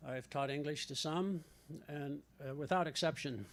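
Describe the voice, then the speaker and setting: An older retired professor making an indignant, rambling public comment with many fillers at a podium microphone in a school board meeting room. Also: male voice, fairly high pitch, moderate pace.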